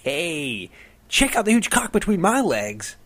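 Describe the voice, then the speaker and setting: A man doing a high crowing prankster. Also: big pitch swings, a little slow, fairly bright tone, heavily acted. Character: loud goofy young male prankster.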